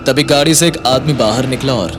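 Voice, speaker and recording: A man's voice: mid-range voice, brisk, background noise. A man narrating a Hindi audio story in a dramatic scripted style. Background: music.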